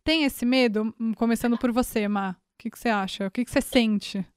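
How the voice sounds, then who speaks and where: A woman hosts a podcast with a fairly high, friendly voice, setting up context and asking questions. The sound is close and dry. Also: brisk, clear, resonant tone, no room sound. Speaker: podcast host. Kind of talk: conversational podcast hosting.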